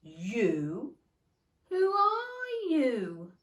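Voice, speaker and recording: A woman puts on a sleepy, imperious male caterpillar from a children's storybook. The words are drawn out very slowly, curt and stern, with big swoops in pitch. The sound is roomy and echoing. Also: wildly swooping pitch, full character voice. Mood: curt, dismissive. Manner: slow, drawling, curt, imperious.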